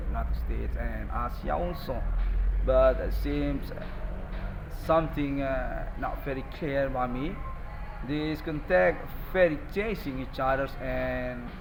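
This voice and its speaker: A man with an Indonesian accent talks at a middling pitch, addressing online viewers in a rambling monologue. His English is halting and disjointed. Clear traffic-like ambient noise runs behind his voice. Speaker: self-styled online presenter.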